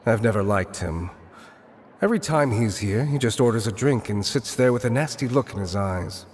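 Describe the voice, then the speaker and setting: An actor playing a shrewd, guarded bartender in a video game, dry and wary in manner. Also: male voice, lowish voice, lively intonation, normal speed, slight background noise, close to an everyday voice. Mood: wary, disdainful. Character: guarded, shrewd underworld bartender, adult man. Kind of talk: video game dialogue acting.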